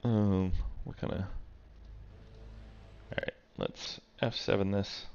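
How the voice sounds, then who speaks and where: A man's voice: fairly low pitch, fairly even intonation, takes it slowly, vocal fry, darkish tone, clear, resonant tone, highs cut off. A man streaming sim racing, casually talking through a race replay and thinking aloud with many fillers.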